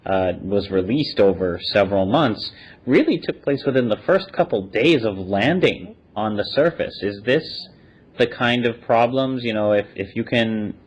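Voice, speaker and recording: Lowish-voiced man hosting an interview. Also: animated, measured pace. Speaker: podcast host asking questions. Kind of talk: casual unscripted interview. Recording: dull, band-limited audio.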